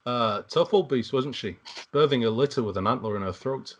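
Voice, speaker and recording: An actor playing a gruff household guard in an amateur table read, on a lo-fi recording. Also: mid-range voice, a little fast, a little hiss or hum, lightly acted.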